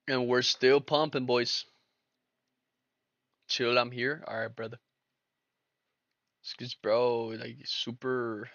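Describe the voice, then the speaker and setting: A man's voice: mid-range voice, little pitch movement, slow pace, pauses often, a bit bright, no echo, dull audio. Slangy young man streaming his trades. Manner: slangy, laid-back, talks to chat as boys and brother. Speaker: livestream trader and host. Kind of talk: casual live-stream chat while trading.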